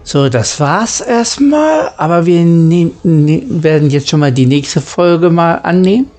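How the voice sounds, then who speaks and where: A man's voice: on the high side, lively intonation, measured pace, a little mellow, soft background music. A man hosting a gaming channel, giving casual let's play commentary and reading game dialogue aloud.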